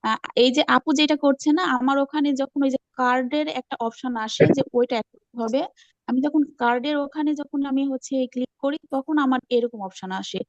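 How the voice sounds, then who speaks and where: A woman's voice: high voice, steady tone, brisk, no room sound, lo-fi sound. A woman eagerly explaining from her own experience in a casual group call, often repeating herself. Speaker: class participant. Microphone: online call.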